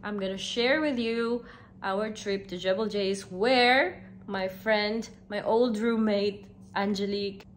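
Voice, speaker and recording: A woman's voice: medium pitch, fairly even intonation, takes it slowly, a little hiss or hum. A woman, chatting casually to camera as a vlogger from an armchair in a small room, laughing between sentences. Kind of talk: casual vlog chat to camera.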